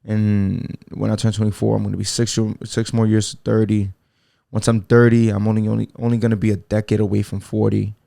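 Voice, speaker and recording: A man's voice: fairly low pitch, flat delivery, brisk, creaky, dark tone, dry recording, studio quality. A man in his twenties hosting a casual solo podcast, rambling in laid-back slang with lots of fillers and restarts. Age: 20s. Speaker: podcast host.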